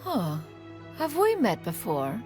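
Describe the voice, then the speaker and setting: A woman's voice: medium pitch, huge pitch swings, slow, music in the background. A woman playing a proud, eloquent immortal bird sage in a video game, speaking in a haughty, formal manner. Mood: curious, gracious. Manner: haughty, formal, refers to herself as one. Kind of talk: theatrical game voice acting.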